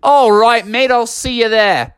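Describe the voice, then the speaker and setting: A man puts on a showy kangaroo boxing rival from a storybook. The voice is high, silly and chatty, with a cocky temper and animated pitch. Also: measured pace, no echo, clean studio sound, exaggerated voice. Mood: breezy.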